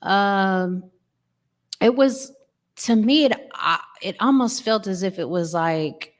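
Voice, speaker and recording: A woman on a music podcast panel, giving her casual take in a deliberate, emphatic way. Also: mid-range voice. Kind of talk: casual album review.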